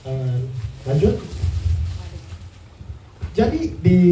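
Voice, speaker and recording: Halting man, very muffled echoey sound. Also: fairly high pitch, mellow. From Singapore. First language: not Indonesian. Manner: halting, searching for words. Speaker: guest speaker presenting slides. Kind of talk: prepared talk on political reform. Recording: echoey, distant mic with room noise.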